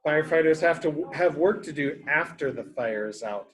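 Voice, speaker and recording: A man's voice: a little high, expressive, normal speed, a bit bright, poor audio. A man reading a picture book aloud to young children, explaining in simple, repeated words and asking questions as a teacher does.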